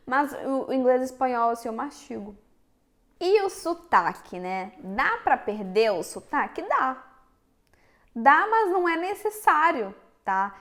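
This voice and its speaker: A young Brazilian woman with a Minas Gerais accent and a high, bright-sounding voice. She talks frankly to her viewers in a relaxed vlog, addressing them directly the whole time. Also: measured pace. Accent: Minas Gerais Portuguese. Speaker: vlogger. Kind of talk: casual chat to camera.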